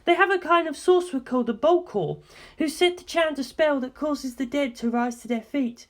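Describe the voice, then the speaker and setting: A woman voicing an intent, earnest young woman who explains dark legends deliberately and emphatically in an audiobook. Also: high voice, natural voice. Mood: earnest, probing.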